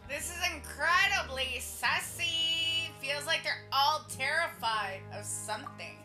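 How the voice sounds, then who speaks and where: A woman's voice: high-pitched, takes it slowly. A woman game streamer giving casual live commentary and reading game dialogue aloud. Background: game music.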